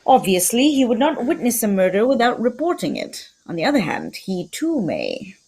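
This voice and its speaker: A woman's voice: a little high, huge pitch swings, moderate pace, darkish tone, a little hiss or hum. A woman playing a composed, self-assured male detective, speaking precisely and theatrically in an old-time radio mystery. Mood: patient, explaining.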